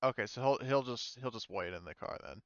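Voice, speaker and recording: A man as game master, narrating and chatting casually in a halting, loosely profane way. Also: medium pitch, highs cut off, crowd noise.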